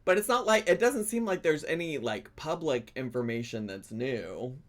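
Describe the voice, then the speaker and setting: A man's voice: medium pitch, expressive, moderate pace. A man hosting a gossip commentary show, chatty and sassy, laughing between his remarks. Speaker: commentary channel host. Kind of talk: gossip commentary reacting to clips.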